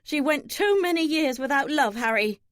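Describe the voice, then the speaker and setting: A woman's voice: a little high, expressive, moderate pace, no room sound, clean studio sound, close to an everyday voice. A woman voicing an older female servant in an audiobook novel, fiercely protective, blunt and insistent. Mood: angry, scolding.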